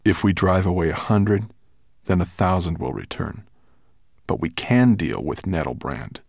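A man voicing a calm, gentle male dragon who speaks low and steadily. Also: a little slow, creaky, no room sound, clean studio sound, full character voice. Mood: firm.

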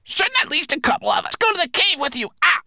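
A timid raven servant from a storybook, croaking far up in a shrill, squeezed register. It is obedient and deferential, its pitch pushed very high and its edges scratchy. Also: male voice, big pitch swings, fast, sharp tone, no echo, studio quality, heavily acted.

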